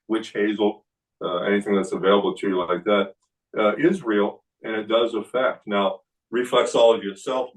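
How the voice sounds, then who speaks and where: Older man, low voice, kung fu teacher, relaxed and halting, recorded from across a room. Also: distant mic, rough recording. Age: 60s. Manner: relaxed explaining with many fillers. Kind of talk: seminar talk. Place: indoor studio room.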